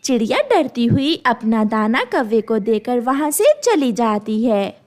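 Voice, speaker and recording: A woman narrates a children's story from a script. Her voice is high and very animated in pitch. She takes her time over each sentence. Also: a little slow.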